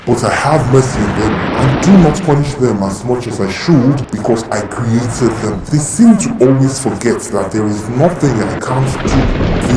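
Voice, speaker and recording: A man playing God as a stern yet merciful creator, speaking with solemn authority in a told folktale. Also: medium pitch. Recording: echoing, loud thunderstorm noise behind.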